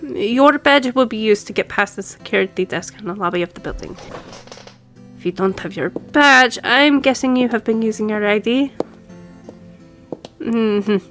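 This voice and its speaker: Woman acting as a female boss, businesslike and lightly teasing, higher pitch, conversational audio roleplay delivery, soft music behind. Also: normal speed, pauses often. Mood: brisk, helpful. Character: brisk, friendly female law firm boss giving instructions.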